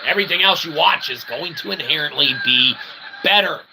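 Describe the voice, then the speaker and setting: A man hosting a comedy show in a rambling, mock-earnest monologue, halting and trailing off between phrases. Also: fairly high pitch, vocal fry, background noise. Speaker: comedy show host and author.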